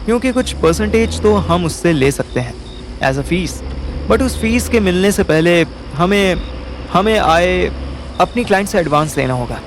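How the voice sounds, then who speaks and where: A man playing a wry, quick-witted young man with an Indian accent, narrating and acting in a first-person audio drama. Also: high voice, slight background noise. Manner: animated, colloquial, mixes in English.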